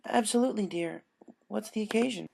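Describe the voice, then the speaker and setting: Narrator doing motherly mare who runs a shop, high voice, polite, apologetic, storybook. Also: fairly quick, gravelly, darkish tone, slight background noise. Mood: obliging. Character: kindly, apologetic female pony shopkeeper.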